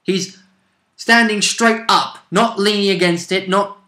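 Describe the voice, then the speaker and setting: A man's voice: high-pitched, fairly even intonation, a little slow, sharp tone. A man chatting casually about a tabletop roleplaying game as a player and co-host. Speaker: player co-hosting a game recap. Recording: echoey room.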